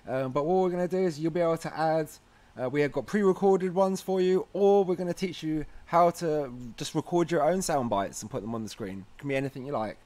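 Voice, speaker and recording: A man with a slightly high but very mellow voice presents a casual how-to guide. He speaks informally, walking the listener through one step at a time in a chatty way. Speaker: host of a how-to video series. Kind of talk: casual tutorial narration.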